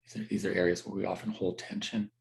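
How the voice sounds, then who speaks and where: A man teaching a guided meditation in a gentle, unhurried, conversational way with halting pauses. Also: sits low, level tone, gravelly.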